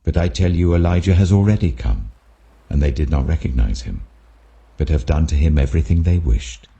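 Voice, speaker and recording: A British man voicing Jesus as an authoritative, earnest teacher, deliberate and emphatic. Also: rumbling low, expressive, vocal fry, dark tone, breathy edge, dull audio, exaggerated voice. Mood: grave, instructive.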